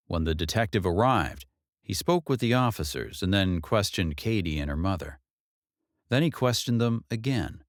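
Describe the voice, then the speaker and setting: Low male audiobook narrator, reads a story with lots of pitch movement, really dry close sound. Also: dry recording. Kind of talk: scripted narration of a novel.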